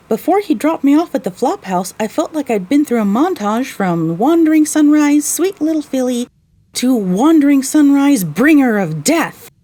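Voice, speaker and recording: Young mare heroine-narrator, female actor: weary, wry, lively intonation, soft husky tone, audio drama storytelling. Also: on the high side.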